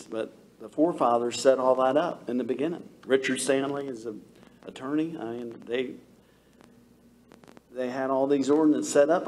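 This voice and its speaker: A man's voice: mid-range voice, a little mellow. A man, a local builder, arguing his point at a town meeting in a folksy, emphatic way with many restarts. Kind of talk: public comment at a meeting. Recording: faint room noise, meeting hall.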